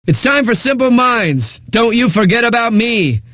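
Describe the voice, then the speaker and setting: An actor playing a cocky, flippant eighties-hits radio DJ in a video game, with smug, punchy announcing and dramatic pauses. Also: male voice, extremely high pitch, sing-song, sharp tone, dry recording, studio quality, heavily acted. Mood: smug, hyped. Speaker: radio DJ, host. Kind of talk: 1980s music radio DJ patter.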